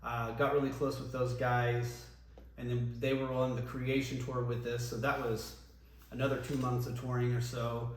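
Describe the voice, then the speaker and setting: A man, a former band singer, reminiscing casually in an interview, relaxed and rambling with many ums and restarts. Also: medium pitch, moderate pace. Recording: echoey room, voice from a distance, faint noise.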